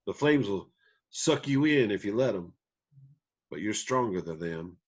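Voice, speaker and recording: A man playing a gentle, weary country musician father in a table read, with folksy, halting phrasing. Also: lowish voice, lively intonation, husky, muffled recording. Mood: tender, cautioning. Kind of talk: screenplay table read acting.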